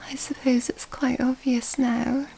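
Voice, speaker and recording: A woman playing an elegant, seductive female vampire in an ASMR roleplay, soft-spoken and breathy, often whispering in a genteel, flirtatious way. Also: sits high, flat delivery, unhurried, rough recording. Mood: predatory, soothing. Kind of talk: ASMR roleplay, intimate scripted monologue. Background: background music.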